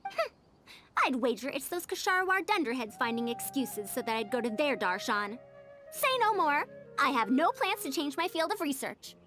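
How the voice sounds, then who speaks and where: Proud, lively, high-voiced lady scholar. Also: normal speed, soft background music. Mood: exasperated. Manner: haughty, formal, old-fashioned phrasing. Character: proud, fussy scholarly woman with old-fashioned elder airs. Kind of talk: video game character voice acting.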